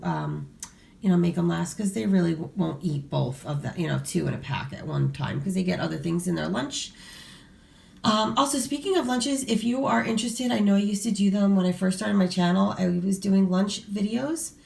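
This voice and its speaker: A middle-aged woman with a hoarse voice from a cold, chatting casually to viewers with lots of fillers and restarts. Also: medium pitch, dark tone. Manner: rambling, many fillers and restarts. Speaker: vlogger showing a grocery haul. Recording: echoey room, voice a bit far from mic.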